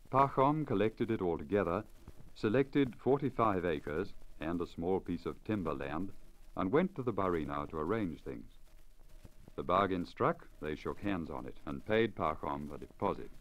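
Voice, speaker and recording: A man reading a story aloud in a measured, formal, expressive 1950s radio style, on a crackly old disc recording. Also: deep voice. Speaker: story reader.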